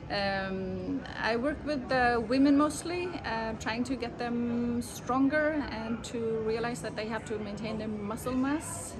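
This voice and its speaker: Middle-aged woman trainer, noisy ambience. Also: on the high side. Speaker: personal trainer and menopause coach. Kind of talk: spontaneous self-introduction.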